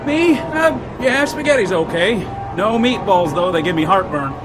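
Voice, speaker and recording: An actor playing a cocky, slangy, excitable co-pilot in a radio war drama. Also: male voice, high-pitched, normal speed. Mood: wisecracking. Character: cocky, wisecracking American airman, the co-pilot. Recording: loud music and engine drone behind. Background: loud music, aircraft engine noise.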